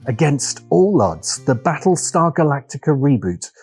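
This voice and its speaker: A man narrating a scripted video essay as host, in a deliberate, wry, opinionated manner with dramatic pauses and emphasis. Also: a little high, big pitch swings, darkish tone, husky, soft background music.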